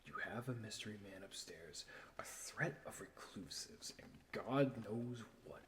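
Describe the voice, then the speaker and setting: A man playing a tormented, guilt-ridden man arguing with his own mind in an audio drama, halting and anguished. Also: fairly low pitch, animated. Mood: brooding, muttering. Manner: halting, anguished, dropping into whispers. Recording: echoey room, clear background noise.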